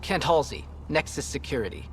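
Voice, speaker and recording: An actor playing an earnest, troubled space-station security officer in a video game. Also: male voice, fairly high pitch, big pitch swings, fairly quick, vocal fry, a little hiss or hum. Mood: earnest, bitter.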